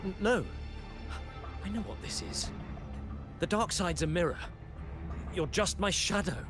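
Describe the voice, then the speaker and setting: Agitated researcher, higher-pitched and swooping. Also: male voice, lively intonation, measured pace. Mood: defiant. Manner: intense, urgent, talking to himself. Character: driven young male researcher, agitated and defiant. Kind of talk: dramatic video game voice acting. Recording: game music behind the voice.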